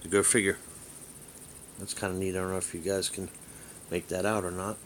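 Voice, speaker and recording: A man giving a casual hands-on demonstration as a hobbyist vlogger, halting and rambling as he thinks aloud. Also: sits low, flat delivery, slight background noise.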